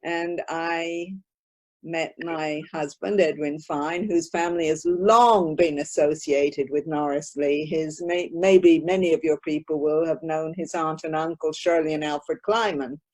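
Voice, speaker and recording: A woman's voice: deep voice, fairly even intonation, a little slow, a little mellow. An older woman from northern England who grew up in California, chatting casually as an interview guest from her craft room at home. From born in northern England, raised in California. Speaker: guest, volunteer craft maker. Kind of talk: casual interview chat, recounting. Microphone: video call.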